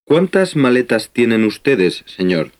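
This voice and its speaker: A man's voice: medium pitch, sing-song, measured pace. A man playing a polite customs officer who asks formal questions in a scripted language-lesson dialogue.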